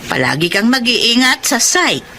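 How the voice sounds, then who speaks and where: A woman's voice: on the high side, sing-song, a little slow, creaky, bright, forceful tone, a little hiss or hum, full character voice. An actress playing a doting mother who fusses over her grown son's meals and safety in a radio drama. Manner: fussing, tender, motherly.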